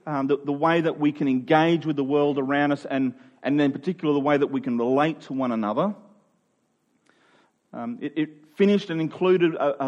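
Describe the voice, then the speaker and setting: Man, preacher, fairly high pitch, conversational sermon delivery, earnest, informal, emphatic on key phrases. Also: a little mellow, muffled recording.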